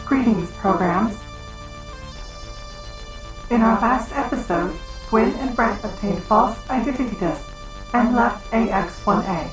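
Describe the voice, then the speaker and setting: A woman narrates a scripted recap in a measured announcer style. Her fairly high voice sits in an echoey space, with music playing clearly behind it. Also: recorded from a distance. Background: background music.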